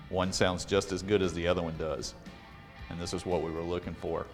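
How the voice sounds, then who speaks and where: A man's voice: sits low, a bit bright. A man talking casually and plainly as he walks through repairing and testing a reel-to-reel deck. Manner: plainspoken, practical, slightly blunt. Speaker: vintage audio repair technician.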